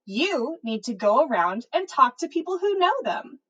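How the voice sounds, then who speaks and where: A woman reads the part of a bossy teenage witch in a storybook tale. She is knowing and teasing, a schemer with a matter-of-fact way of talking and a highish girlish pitch. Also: big pitch swings, moderate pace, fairly bright tone, dull audio. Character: teenage witch schoolgirl, smirking, bossy, scheming gossip editor.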